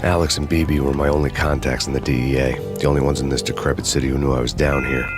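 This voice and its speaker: An actor playing a world-weary undercover cop who narrates a noir story in a dry, sardonic way. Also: male voice, rumbling low, level tone, quick pace. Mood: grim. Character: grim hard-boiled male undercover cop narrating. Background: music.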